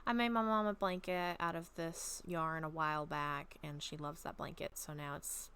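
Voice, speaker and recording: A woman chatting casually and reading her own story aloud at home, a little raspy from a long talking session, rambling with many soft ums. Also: sits low, steady tone, moderate pace, a little hiss or hum. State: slightly raspy throat. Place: at home, bedroom.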